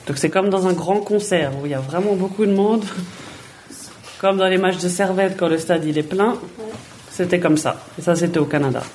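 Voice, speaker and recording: A woman's voice: fairly low pitch, normal speed, a little mellow, slight background noise. A woman, a footballer answering children's questions plainly and in a friendly way, often repeating words as she thinks. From Switzerland. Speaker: footballer guest.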